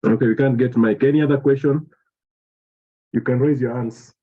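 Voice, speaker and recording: Male moderator, brief prompts, husky voice on tinny phone-like audio. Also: medium pitch, fairly even intonation, normal speed. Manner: brief, calling on participants. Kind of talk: moderating an online meeting. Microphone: video call.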